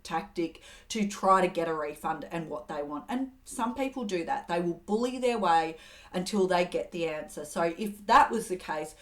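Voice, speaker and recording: A woman's voice: fairly low pitch, moderate pace. Woman coaching steadily on handling callers. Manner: earnest, steady coaching with acted example phrases. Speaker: host giving communication advice. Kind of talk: advice talk to camera.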